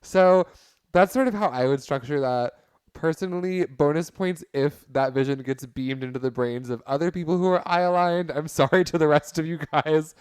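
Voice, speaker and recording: A man's voice: on the high side, moderate pace, a little mellow, clear, resonant tone, no room sound. A man telling comic stories casually in a wry, mock-serious, wordy way. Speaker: podcast panelist.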